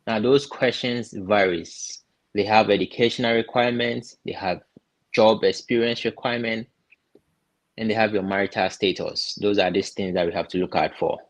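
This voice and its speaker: A man from Ghana giving casual step-by-step advice, often pausing and repeating words as he thinks. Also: fairly low pitch. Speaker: guest explaining visa steps.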